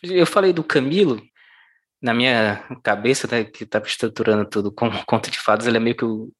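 Interviewer, woman with a very low voice, relaxed conversational tone. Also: fairly quick. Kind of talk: casual interview chat.

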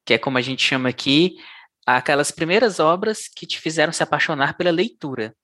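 A man hosting a literature podcast, introducing his guest in a measured way and asking her questions. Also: on the high side, bright, forceful tone. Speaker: podcast host.

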